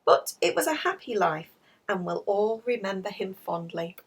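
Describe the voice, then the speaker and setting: A woman's voice: medium pitch, sing-song, normal speed, husky, far from the mic. A woman voicing a kindly, comforting mother in a children's storybook. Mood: consoling.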